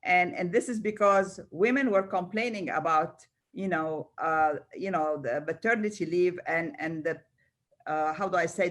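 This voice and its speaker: A woman's voice: lowish voice, fairly bright tone. An older woman from Egypt speaking spontaneously as a round table panellist, often repeating words and restarting. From Cairo, Egypt. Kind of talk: panel discussion, spontaneous speech.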